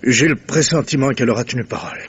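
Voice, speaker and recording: An actor playing a troubled wealthy gentleman in a radio mystery, grave and formal, with a hesitant delivery. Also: medium pitch, fast talker, husky, lo-fi sound. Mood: anxious, grave. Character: troubled, solemn wealthy gentleman confiding in his doctor. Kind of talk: French radio drama acting.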